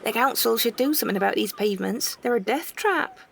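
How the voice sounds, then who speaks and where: A woman's voice: on the high side, lively intonation, fast talker, creaky, a bit bright, full character voice. A woman voicing a kindly, fussing older woman in a story, soothing and motherly. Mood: indignant.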